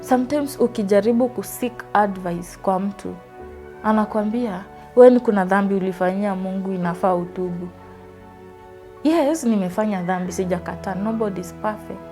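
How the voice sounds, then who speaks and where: A woman's voice: medium pitch, normal speed, mellow, soft background music. A Kenyan woman in her twenties from Western Kenya, earnestly telling her life story in an interview and switching freely between English and Swahili. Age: 20s. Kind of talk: personal testimony interview.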